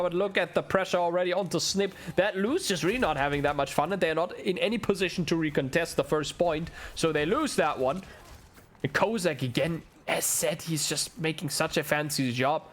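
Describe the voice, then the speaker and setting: A man's voice: a little high, brisk. A young man with a German accent, whose first language is German, doing solo play-by-play commentary on an esports match, building up with the action. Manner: excitable, ramps up with the action, chats with viewers. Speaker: esports caster.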